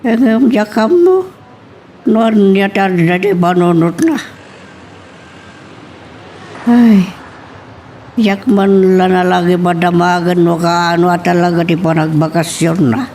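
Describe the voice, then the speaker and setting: A woman's voice: lowish voice, slow pace, pauses often, dark tone. A woman playing a familiar, talkative office staffer in an Ilocano audio drama, musing as she talks. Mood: musing, advising. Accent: Ilocano Filipino. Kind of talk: amateur audio drama dialogue. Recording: faint background noise.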